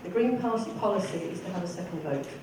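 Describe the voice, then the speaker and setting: A council candidate in a debate, speaking in a measured, explanatory way. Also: mid-range voice, animated. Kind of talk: debate speech. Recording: echoey hall, far from mic, noise.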